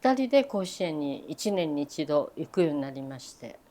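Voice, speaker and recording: A woman's voice: low-pitched, very animated, normal speed, mellow. An older woman, a veteran geisha and shamisen player, reminiscing playfully in an interview, self-mocking and laughing often.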